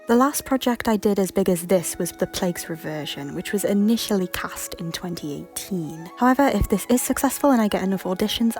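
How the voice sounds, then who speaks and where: A woman reading a scripted announcement as a project creator, in a polite, explanatory way. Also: mid-range voice. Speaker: creator announcing a voice casting call. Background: background music.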